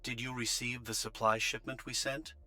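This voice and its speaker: A man's voice: medium pitch, little pitch movement, moderate pace, pushed and bright, clean tone, no room sound. A man voicing a formal, courteous official reporting to soldiers in an anime-style game. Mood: formal, grateful.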